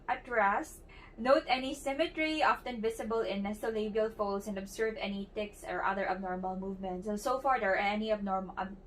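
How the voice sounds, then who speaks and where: A young woman demonstrating a clinical examination, explaining each step as she instructs the patient, with frequent fillers and restarts. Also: medium pitch. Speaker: student demonstrating a clinical exam. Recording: distant mic with faint background noise.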